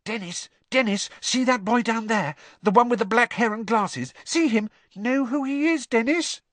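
A tiny schoolboy, bursting with eagerness. The voice is shrill and pitched far above a grown man's, chattering excitedly in a dry storybook recording. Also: moderate pace, dry recording, heavily acted. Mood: eager, excited. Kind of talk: audiobook character voice.

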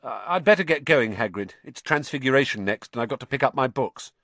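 A man's voice: medium pitch, expressive, a little fast, fairly bright tone, no room sound, voice pushed up. A man voicing an earnest, hot-tempered schoolboy hero in an audiobook, direct and indignant. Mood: guarded. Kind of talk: audiobook character acting.

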